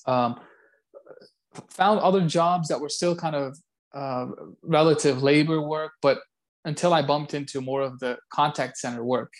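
A man from Belgium speaking as an interview guest, casual and halting, often repeating words as he goes. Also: on the high side. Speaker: interview guest, call center site director.

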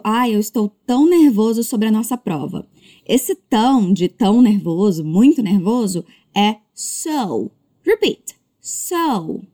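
A woman teaching English as a language lesson, modeling words slowly and carefully before brisk explanations. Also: fairly high pitch, warm tone. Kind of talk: slow drilled pronunciation lesson.

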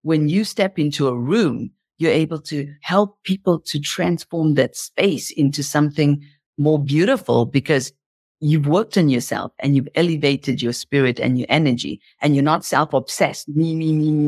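A woman's voice: low voice, moderate pace, darkish tone, no echo, studio quality. A woman speaking as an interview guest, explaining in an impassioned motivational style and repeating phrases for emphasis.

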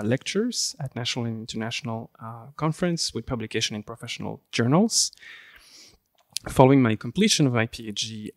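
A man from Belgium with a Belgian French accent, speaking as a podcast guest in a formal, measured way with hesitant fillers. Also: mid-range voice, dry recording. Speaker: guest, preservation engineer. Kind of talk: podcast interview.

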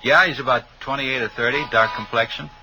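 A man's voice: medium pitch, steady tone, brisk, music in the background, lightly acted. A man with an American accent playing a jaded city cab driver in a radio drama, slangy and offhand. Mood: offhand recollection. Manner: slangy, shrugging. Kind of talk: 1950s radio drama acting. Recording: noisy old radio recording.

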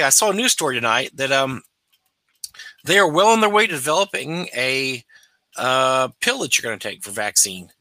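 A man co-hosting a casual podcast chat, chatty and laughing easily, his voice going hoarse. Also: medium pitch, sharp tone, dry recording. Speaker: podcast co-host.

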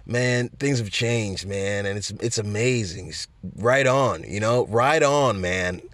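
A man's voice: fairly low pitch, takes it slowly, a bit bright, no echo. A rambling, laid-back aging stoner, put on by a man, drawling his words and tacking "man" onto everything. The sound is dry and close, with no room around it. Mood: mellow griping. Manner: drawling, peppered with "man" and "bro".

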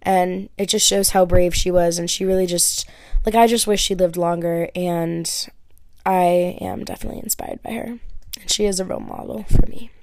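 Young woman podcast host, relaxed chatty delivery, dry close mic. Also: lowish voice, moderate pace, no echo. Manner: chatty, lots of "like" and "um". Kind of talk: casual Q&A chat. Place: her bedroom.

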